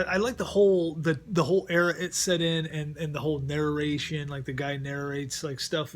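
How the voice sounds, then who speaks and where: Middle-aged male vlogger, relaxed rambling delivery with lots of restarts, voice sitting a little high. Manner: rambling, repeats words and restarts often. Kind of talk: casual vlog chat. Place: at home. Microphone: close mic.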